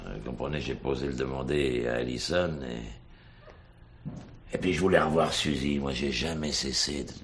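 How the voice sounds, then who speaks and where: A man's voice: rumbling low, a little slow, a little hiss or hum. A man acting a cornered suspect in a French police drama, hesitant, evasive and self-justifying. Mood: cornered, defensive.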